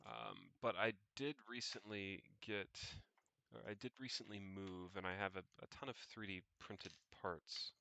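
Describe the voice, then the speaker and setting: A man casually thinking aloud as he narrates his screen on a livestream, pausing mid-sentence and muttering to himself. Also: fairly low pitch, fairly even intonation, sharp tone, a little hiss or hum. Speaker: solo livestreamer.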